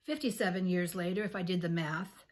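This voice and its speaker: A woman in her sixties with a scratchy, under-the-weather voice, reading her thoughts aloud earnestly and softly to her channel's viewers, now and then clearing her throat. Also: on the low side, a little fast. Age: 60s. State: scratchy voice from illness. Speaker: channel host. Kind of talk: reflective reading to camera.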